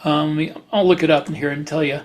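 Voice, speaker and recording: Man, slightly high voice, podcast host, casual conversation, halting and rambling delivery. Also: fairly even intonation, a little hiss or hum. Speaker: writer.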